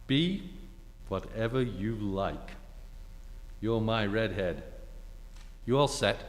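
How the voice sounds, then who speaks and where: A man acting a suave, tender ex-husband with gentle, wry understatement in a 1940s radio play. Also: on the low side, slow. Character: suave, tender ex-husband wooing his former wife. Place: theatre stage. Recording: echoey hall with faint noise.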